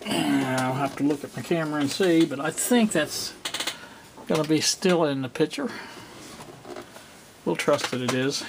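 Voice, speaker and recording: A middle-aged man giving a casual, unscripted demonstration, halting and rambling as he thinks aloud. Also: on the high side, creaky, background noise. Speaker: hobbyist presenter. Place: indoor home workspace.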